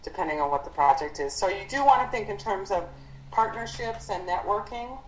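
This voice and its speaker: A woman leading a team conference call as an instructor, explaining business matters in a halting, encouraging way as she thinks aloud. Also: mid-range voice, animated, slight background noise. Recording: roomy conference call audio.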